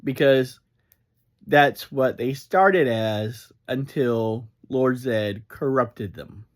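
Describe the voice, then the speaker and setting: A man giving a casual fan review as a solo podcast reviewer, speaking in halting, broken phrases. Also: mid-range voice.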